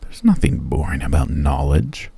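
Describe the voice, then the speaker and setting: A man voicing a reclusive, wealthy elf who talks in a genteel, teasing and softly menacing way, in an ASMR roleplay. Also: rumbling low, very animated, normal speed, vocal fry, husky, no echo, heavily acted. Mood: scholarly. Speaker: voice actor.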